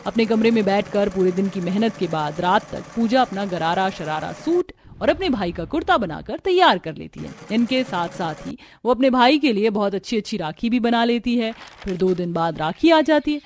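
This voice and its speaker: A woman's voice: mid-range voice, animated, moderate pace, hardly any pauses, darkish tone, a little hiss or hum. A woman reading scripted narration for a family drama cartoon story. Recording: music bed behind the voice. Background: background music.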